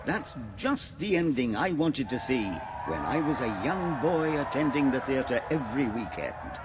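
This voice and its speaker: Swooping theatrical drama lover. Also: male voice, medium pitch, sing-song, measured pace, heavy background noise. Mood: delighted. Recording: loud music behind the voice.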